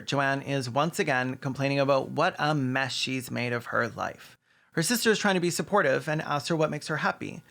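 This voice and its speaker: A man's voice: fairly high pitch, vocal fry, a bit bright. A man delivering scripted video commentary in a sardonic, casually sweary way. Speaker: video essayist host.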